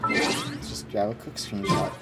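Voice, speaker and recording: A woman's voice: very deep voice, a little slow. A woman giving casual live commentary as a video game streamer. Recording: loud game music behind the voice.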